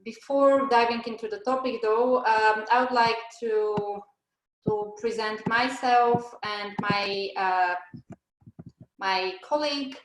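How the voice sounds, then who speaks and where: A woman's voice: on the high side, steady tone, slow, background noise. Hesitant woman hosting a webinar, echoey room. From Bulgaria. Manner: hesitant, many fillers and restarts. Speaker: webinar host. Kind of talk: presenting a webinar. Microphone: video call.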